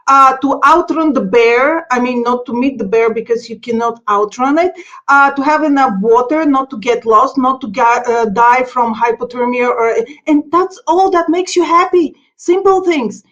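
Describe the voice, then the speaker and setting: High-voiced woman. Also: a bit bright. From Bulgaria. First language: Bulgarian. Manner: eager, talks in long run-on explanations. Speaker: podcast guest, explorer. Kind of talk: casual interview chat. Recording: dull lo-fi sound.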